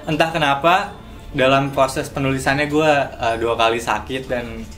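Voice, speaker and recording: A man's voice: mid-range voice, fairly even intonation, normal speed, a bit bright. A man chatting casually in colloquial slang as a producer in an interview. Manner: informal, colloquial Jakarta slang. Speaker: audio drama producer and writer. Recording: music playing behind the voice.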